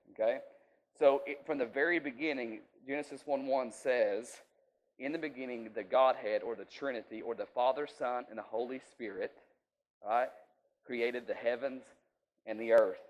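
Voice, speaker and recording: A man's voice: medium pitch, lo-fi sound. Folksy preacher man, chatty and down-home. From rural US. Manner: folksy, checks in with listeners often. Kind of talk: informal sermon teaching.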